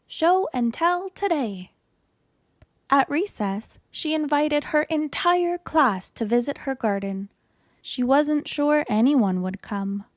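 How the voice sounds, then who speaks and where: A woman narrates a children's storybook with expressive care. Her voice sits fairly high and she takes her time over each phrase. The sound is dry and close. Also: slow pace, no echo. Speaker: storytime reader. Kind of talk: read-aloud children's picture book narration.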